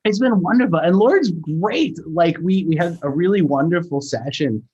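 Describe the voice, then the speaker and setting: A man with a husky voice chatting casually as a guest, enthusiastic but halting as he talks. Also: fairly high pitch, expressive, darkish tone, lo-fi sound. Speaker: sound designer, guest. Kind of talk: casual chat.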